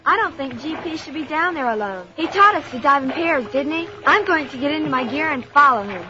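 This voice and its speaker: A woman's voice: high-pitched, animated, a little fast. A woman playing an anxious, plucky young girl in an audio drama. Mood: worried. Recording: dull lo-fi recording, noisy ambience.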